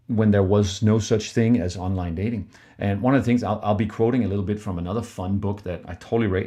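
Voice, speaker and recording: A middle-aged man, co-hosting a podcast in relaxed, conversational talk with frequent fillers. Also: fairly low pitch. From Denmark. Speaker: podcast co-host.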